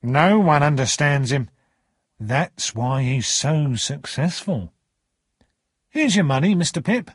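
Knowing law clerk, voiced by a man, storybook dialogue, plain-spoken, husky, close dry sound. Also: fairly high pitch, lively intonation, takes it slowly, long pauses, no room sound, full character voice. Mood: matter-of-fact. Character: dry, matter-of-fact male law clerk.

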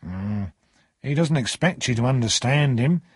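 A matter-of-fact clerk from a storybook, as a male narrator reads him. He sounds knowing and plain-spoken, with a husky voice and varied pitch. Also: lively intonation, a little slow, no room sound, studio quality.

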